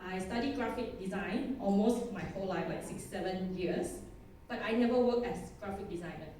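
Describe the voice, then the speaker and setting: A woman's voice: mid-range voice, brisk, warm tone, a little hiss or hum. A woman in her thirties from Thailand with a Thai accent, giving a chatty, self-deprecating conference talk and laughing often. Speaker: conference speaker. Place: conference hall. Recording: echoey hall, distant mic.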